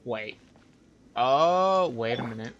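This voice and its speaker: A man's voice: medium pitch, animated, slow, fairly bright tone, muffled recording. A man giving casual, laid-back gameplay commentary on a live stream, with wry asides and game noise behind.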